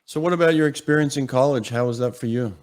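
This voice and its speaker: A man from Australia, hosting an interview and asking relaxed, curious questions. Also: mid-range voice, quick pace. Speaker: podcast host. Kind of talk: interview conversation.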